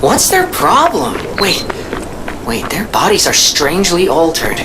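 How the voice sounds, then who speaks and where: A man's voice: a little high, wildly swooping pitch, unhurried, background noise. A man voicing a chirpy, talkative little mechanical guide companion in a video game, chatty and hammy like a showman. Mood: uneasy, puzzled.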